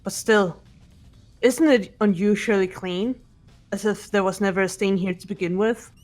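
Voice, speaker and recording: A stoic, muscular fighter girl from a visual novel game , acted by a woman. She speaks in a measured, formal manner at a middle pitch. Faint music plays underneath. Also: fairly even intonation, darkish tone, close to an everyday voice. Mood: calm, serious. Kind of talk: fan dub of visual novel game dialogue.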